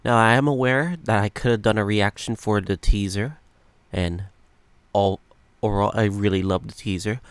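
Low-voiced man reacting casually. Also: fairly even intonation, moderate pace, clean tone, no echo. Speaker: reaction video host. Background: music.

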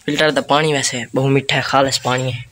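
A young man with a Saraiki accent gives informal, repetitive vlog commentary at a medium pitch. He talks into a phone held at arm's length outdoors, with clear noise around him. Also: level tone, fast, fairly bright tone. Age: teens to young adult. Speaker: vlogger, host. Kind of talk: casual village vlog chat. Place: outdoors in village lanes, fields and walled yard. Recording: noisy handheld phone recording.

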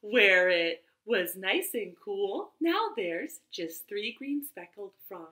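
A woman in her thirties leading children's storytime in an animated, sing-song manner from a living room. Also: mid-range voice, slow pace. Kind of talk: children's storytime, rhymes and read-aloud story. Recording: roomy home webcam sound.

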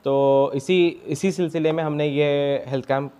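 A young man, a doctor answering interview questions haltingly, with broken starts and English medical terms. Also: a little high, fairly even intonation, warm tone, smooth. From India. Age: young adult. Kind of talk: interview answers at a health camp. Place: small clinic room, indoors. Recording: dull lo-fi sound.

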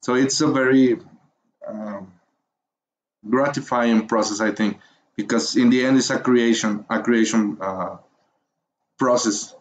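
A man from Mexico with a Spanish accent, whose first language is Spanish, teaching a beginner's course in an encouraging, hesitant way, pausing often to find his words. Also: mid-range voice, steady tone, slight background noise, low-quality recording. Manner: hesitant, many fillers and pauses while finding words. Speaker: course instructor. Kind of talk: screencast tutorial narration.

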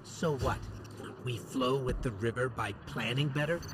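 An actor voicing a small, inquisitive robot companion in a video game, with a conversational, questioning manner. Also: male voice, medium pitch, measured pace, background noise, lightly acted. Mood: curious. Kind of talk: scripted video game dialogue. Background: game music.

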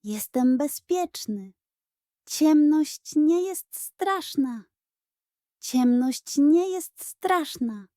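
A woman acts out a cowardly little koala from a bedtime storybook. The voice is very high and shaky, full of panicky squeaks and moans. He is clumsy and easily frightened, heard up close in a dry recording. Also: expressive, drawn-out words, warm tone, dry recording, heavily acted. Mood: frightened whimpering.

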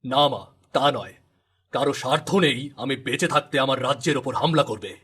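A man voicing a grave, authoritative king and father in a storybook cartoon, with a solemn, slightly husky voice. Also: mid-range voice, normal speed, a bit bright. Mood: grave, fatherly. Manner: commanding, solemn.

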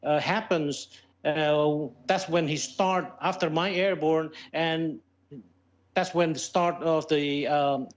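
Higher-pitched Indonesian man on a video call. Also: steady tone. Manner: halting, searching for words in English. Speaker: airline pilot, interview guest. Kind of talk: live news interview. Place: plain indoor room. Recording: dull, lo-fi Skype call.

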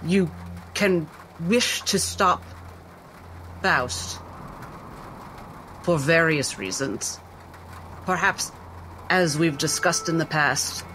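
Man playing a bright-voiced, slow, careful doctor. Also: on the high side, a little hiss or hum. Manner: formal, careful, halting. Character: measured, intellectual doctor adventurer. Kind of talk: in-character tabletop roleplay.

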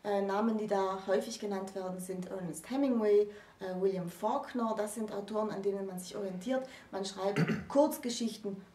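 A woman in her thirties explaining literature in an articulate, emphatic way, in deliberate phrases. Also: medium pitch, fairly even intonation, warm tone. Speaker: presenter of a literature explainer series. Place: small indoor room with bookshelves. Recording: roomy, distant microphone.

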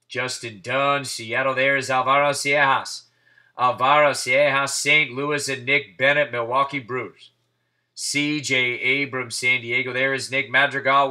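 A man hosting a live card break, calling out players and teams in quick succession with hyped asides, his voice getting hoarse. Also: mid-range voice, sharp tone. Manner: rapid-fire name calling, hyped asides. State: losing his voice. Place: indoors at a table.

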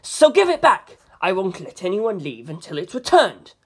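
A narrator voicing a thin, excitable male artist with a fussy, vigorous, indignant manner. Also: medium pitch, normal speed, husky. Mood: snapping.

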